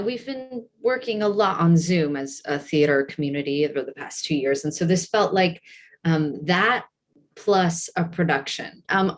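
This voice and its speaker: Enthusiastic woman, mid-pitched, informal. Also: slight background noise. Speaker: theater director and panel guest. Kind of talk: casual panel talk.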